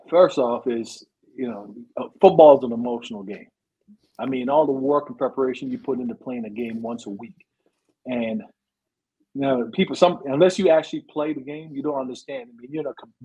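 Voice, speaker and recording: A man's voice: mid-range voice, darkish tone, breathy edge. A man who coaches football, giving conversational advice in an explanatory way, checking each point with "right" and "you know". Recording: dull, lo-fi sound.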